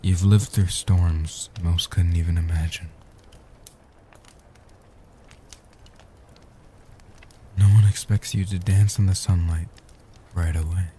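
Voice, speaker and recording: A man playing a gentle, protective ancient vampire guardian in a soft-spoken comfort audio roleplay, talking slowly and soothingly with formal, solemn phrasing. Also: flat delivery, a little hiss or hum, close to an everyday voice. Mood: tender, soothing. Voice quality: soft, hushed, dark low voice.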